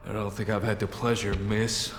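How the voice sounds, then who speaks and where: An actor playing a tough, wary, pragmatic armed operative in a video game, speaking in a measured, hard-edged and persuasive way. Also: male voice, fairly low pitch, level tone, slight background noise, natural voice. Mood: guarded calm. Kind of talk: video game cutscene acting. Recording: echoey hall with long reverb, music behind.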